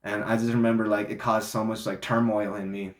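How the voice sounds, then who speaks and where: A man's voice: on the low side, level tone, fairly quick, roomy sound. A young man telling a story in a casual, rambling way, full of fillers and restarts, sounding congested. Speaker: vlogger.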